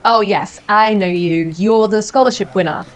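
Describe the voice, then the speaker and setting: Helpful school receptionist acted by a woman, medium pitch, polite scripted welcome. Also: normal speed, lightly acted. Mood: welcoming. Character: polite, helpful school check-in desk clerk.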